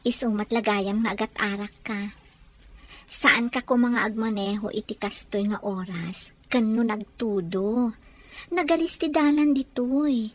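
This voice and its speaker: High-voiced, caring young bride-to-be. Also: female voice, a little slow. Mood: worried. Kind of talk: radio drama acting.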